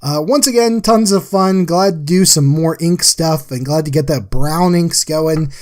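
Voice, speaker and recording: Male artist-host, a little high in pitch, informal and chatty, casual art recap narration. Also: measured pace. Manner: chatty, informal, addresses viewers as guys. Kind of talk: casual drawing recap voice-over.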